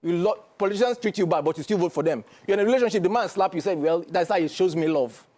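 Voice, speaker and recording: Nigerian male guest, high-pitched, mellow tone, rapid and preachy delivery. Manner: emphatic, lecturing, keeps asking "do you understand?". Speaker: guest commentator. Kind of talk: studio interview.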